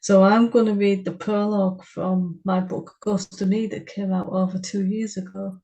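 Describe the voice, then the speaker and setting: A woman co-hosting a story podcast, chatting and then reading the prologue of her own novel in a steady narrating voice. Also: medium pitch, normal speed, highs cut off. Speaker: podcast co-host, author. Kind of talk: casual chat and reading a book prologue.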